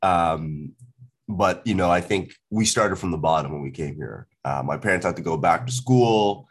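A man from Ghana with a somewhat low voice, speaking as a guest in a relaxed interview. He tells his story in a reflective, measured way and takes his time over each thought. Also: slightly rough. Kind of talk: casual interview conversation.

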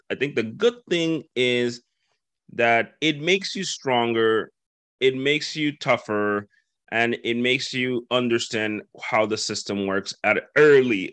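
A man's voice: medium pitch, animated, a little slow, a bit bright, no echo. A man from the Dominican Republic hosting a casual interview, talking in loose run-on thoughts and acting out quoted voices. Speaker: podcast host.

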